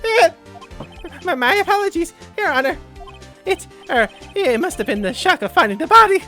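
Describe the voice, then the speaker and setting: A man voicing a nervous, easily indignant murder witness in a video game, stammering and spluttering excitably. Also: very high voice, normal speed, soft background music, pitched above the performer's own voice, exaggerated voice. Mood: flustered, apologetic. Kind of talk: hammy video game character voice.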